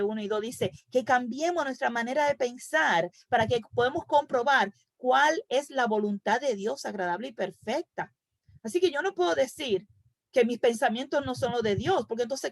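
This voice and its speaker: A woman's voice: on the high side, dry recording. A woman leading a Bible study for women, teaching earnestly and asking rhetorical questions over an online call.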